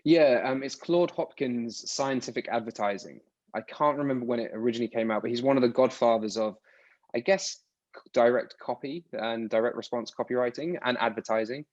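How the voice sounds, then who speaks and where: A man from the UK in his thirties talks casually as a podcast guest, circling back and restarting his words. His voice sits at a middle pitch and comes through a dull, lo-fi signal. The sound is dry and close. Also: a little fast, darkish tone, no room sound. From United Kingdom. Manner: rambling, restarts words often. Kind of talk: casual interview answers.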